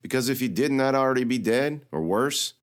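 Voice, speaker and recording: A man voicing a defiant, sharp-tongued young girl with one arm, speaking in a cheeky, needling way. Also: mid-range voice, lively intonation, a little fast, smooth, pitched above the performer's own voice, lightly acted.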